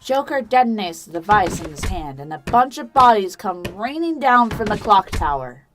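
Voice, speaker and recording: A woman's voice: medium pitch, big pitch swings, moderate pace. A woman reading scripted third-person narration for an audio drama. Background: background music.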